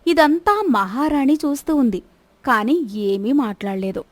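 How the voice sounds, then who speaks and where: A woman narrates a scripted moral tale. Her voice sits a little high and is recorded close and dry, with no room sound around it. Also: lively intonation, measured pace, no echo.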